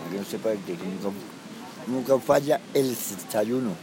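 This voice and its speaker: A man, answering street interview questions in short, halting, repetitive phrases. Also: lowish voice. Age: 30s. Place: city park outdoors. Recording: outdoor crowd noise. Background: street crowd and traffic.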